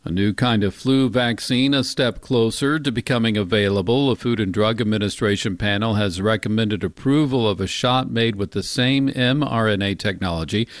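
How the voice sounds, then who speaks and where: Low-pitched man reading the news. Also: normal speed, few pauses, no echo, clean studio sound. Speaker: news anchor.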